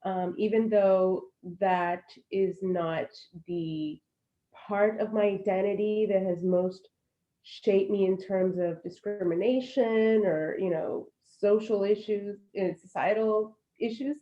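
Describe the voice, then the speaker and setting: A woman's voice: medium pitch, takes it slowly, dark tone, highs cut off. A woman in her thirties, born in Puerto Rico and raised in Florida, speaking earnestly and reflectively as a panelist over a video call. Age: 30s. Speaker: panelist, alumna. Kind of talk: casual panel talk.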